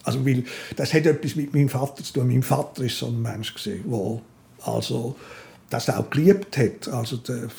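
Older Swiss German man, gravelly and halting. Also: medium pitch, warm tone. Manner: halting, searching for words. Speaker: writer being interviewed.

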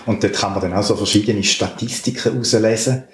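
A male podcast host chats casually with a Swiss German accent. His voice is a little on the low side. The recording is roomy and echoing, with faint noise behind him. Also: fairly even intonation, fairly quick. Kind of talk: casual interview chat. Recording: roomy echo with audible background noise.

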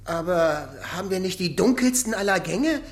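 An actor voicing a cowardly, naive male duck with a creaky voice in a radio play. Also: high voice, expressive, moderate pace. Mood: earnest, questioning.

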